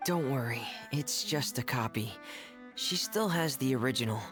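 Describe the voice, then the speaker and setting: A man playing a soft-spoken, earnest, thoughtful teenage boy, calm and measured, in a video game cutscene. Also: mid-range voice, over music, natural voice. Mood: reassuring.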